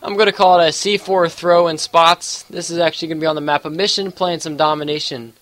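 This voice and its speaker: A man's voice: on the high side, fairly even intonation, fast talker, a bit bright. A young man with a congested, sniffly voice giving casual gameplay commentary, rambling with lots of fillers and hedges. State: congested with allergies. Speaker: gaming YouTuber.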